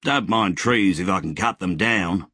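A man's voice: low-pitched, unhurried, pushed and bright, slightly rough, dry recording, clean studio sound, heavily acted. A man voicing a cheerful, grizzled older man with a breezy, slangy way of talking. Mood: chatty.

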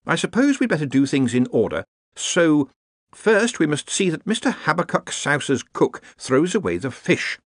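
A man's voice: fairly high pitch, very animated, normal speed, dry recording, clean studio sound, pitched above the performer's own voice. A man voicing a harried, earnest academic wizard who organises everyone in a fussy, polite, explanatory way, in a storybook reading. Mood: weary, patient.

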